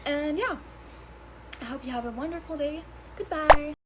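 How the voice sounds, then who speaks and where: A woman's voice: high-pitched, normal speed, heavy background noise. A woman in her early twenties, chatting casually and giggling on her vlog, swearing and stumbling over words in English, her third language. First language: not English; English is her third language. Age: 20s. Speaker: vlogger. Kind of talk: casual rambling vlog chat.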